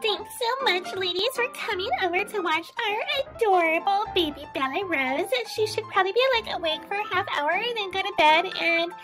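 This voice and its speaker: A woman's voice: extremely high pitch, expressive, normal speed, few pauses, over music. A woman voicing a bubbly, ditzy young mother doll in a toy play.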